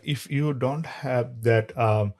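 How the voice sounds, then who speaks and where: A man's voice: medium pitch, slow, a little mellow. A man hosting an interview, asking questions with hesitant, searching phrasing and many fillers. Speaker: podcast host. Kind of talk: conversational interview.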